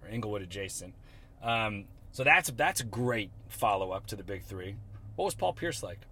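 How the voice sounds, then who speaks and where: A man hosting a casual sports podcast, joking and teasing, quick to throw in silly voices. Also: on the low side, animated, pushed and bright, husky. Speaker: podcast host.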